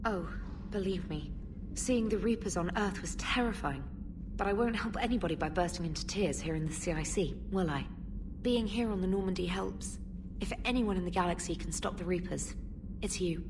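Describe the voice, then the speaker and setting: A woman's voice: on the low side, moderate pace, distant mic, noisy background, close to an everyday voice. A woman playing a polite, earnest young military specialist in a video game, speaking precisely with an English accent. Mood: earnest, resolute.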